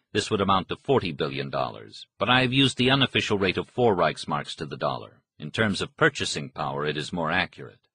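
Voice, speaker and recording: A man narrates a history audiobook in a low, husky voice. His delivery is measured and formal, following a written script. The sound is close and very dry, with no room around the voice. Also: sharp tone, dry recording.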